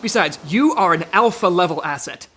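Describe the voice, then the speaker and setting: A man's voice: sits high, expressive, moderate pace, a bit bright, a little hiss or hum. Male, anxious rule-bound agent in a radio comedy, swooping intonation, sarcastic, faint ambience behind. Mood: insistent. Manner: fretful, sarcastic. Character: exasperated, anxious by-the-book male secret agent. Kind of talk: comedic audio drama acting.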